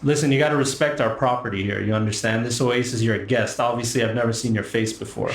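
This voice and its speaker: A man's voice: mid-range voice, steady tone, fast, far from the mic, slight background noise, voice pushed down, natural voice. Tabletop game role: officious male guard, voiced by the game master, stern and lecturing, recorded in an echoey room. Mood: stern, scolding. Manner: scolding, lecturing, demanding.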